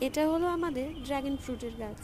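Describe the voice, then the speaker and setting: A woman's voice: high-pitched, fast, background noise. A woman narrating a vlog in a scripted style, as its host. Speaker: vlogger. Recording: loud music over the voice. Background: loud music.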